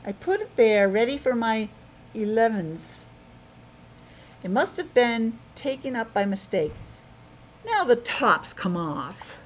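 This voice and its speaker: Storybook bear cub gentleman, acted by a woman, courteous and keen, high-pitched, lively, light background noise. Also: takes it slowly, long pauses. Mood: matter-of-fact. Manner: polite, earnest. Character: polite, eager young male bear.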